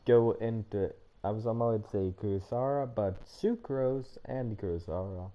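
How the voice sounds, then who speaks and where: A man doing casual, rambling livestream game commentary, thinking out loud to himself. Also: lowish voice, unhurried, lo-fi sound, slight background noise. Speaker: game streamer. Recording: loud game music behind voice. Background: video game music.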